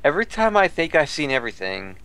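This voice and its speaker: A man with a somewhat high, very animated voice talks casually as a podcast co-host. His delivery is conversational and informal. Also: fast, clear, resonant tone. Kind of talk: casual chat.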